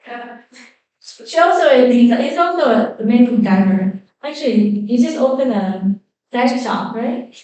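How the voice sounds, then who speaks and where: A woman with a Chinese accent introducing fellow musicians onstage at a concert, halting and self-correcting with many fillers. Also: a little high, expressive, warm tone. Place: concert hall. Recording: echoey hall, voice from a distance.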